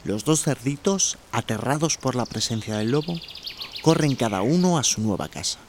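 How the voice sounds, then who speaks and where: A man's voice: mid-range voice, animated, normal speed, slight background noise. A man narrating a scripted children's story as the narrator of a radio tale.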